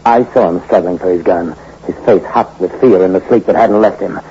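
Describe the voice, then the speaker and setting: A man's voice: low-pitched, a little fast, noisy background. A man narrating and acting the lead in a 1940s noir radio drama, speaking in a hard-boiled, clipped, sardonic way. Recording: muffled old radio recording with music.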